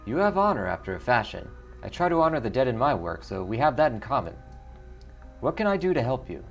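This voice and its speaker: Man doing a dry, measured dwarf coroner. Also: mid-range voice, very animated, vocal fry, soft background music, voice pushed up. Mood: respectful. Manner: measured, matter-of-fact explaining. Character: dry, wry dwarf coroner, principled and solitary.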